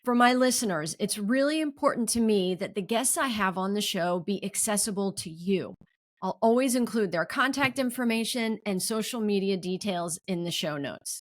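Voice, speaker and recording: A woman hosting an interview podcast, speaking in a medium-pitched voice. She reads a polished introduction smoothly and then asks her guest open questions. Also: expressive, moderate pace. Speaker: podcast host.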